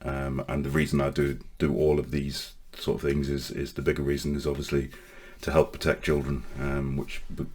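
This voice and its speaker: A man in his forties from Northern Ireland with a mostly English accent, telling his life story to camera in a halting way, with many pauses and restarts. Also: very deep voice, little pitch movement, darkish tone. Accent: English with Northern Irish traces. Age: 40s. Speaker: online safety vlogger.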